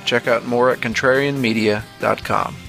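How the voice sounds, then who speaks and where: A man's voice: mid-range voice, steady tone, normal speed, creaky, a bit bright, soft background music. A man reading scripted narration as the host and narrator of a horror fiction podcast.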